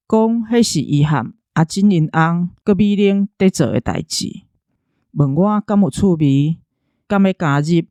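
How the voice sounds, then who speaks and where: A woman's voice: low-pitched, dark tone. A Taiwanese woman speaks informally to her audience as an amateur voice actor offering thanks. Her voice is captured very dry, free of room echo. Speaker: amateur voice actor on an audio drama team. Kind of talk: casual thank-you talk to listeners.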